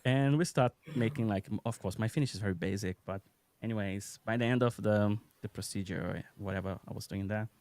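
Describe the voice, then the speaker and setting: A man in his thirties with a Brazilian accent, speaking English as a second language as a guest on a live stream, relaxed and telling stories with easy laughter. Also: fairly low pitch, brisk, no room sound. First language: Portuguese. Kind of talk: casual live-stream chat. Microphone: podcast microphone on a video call.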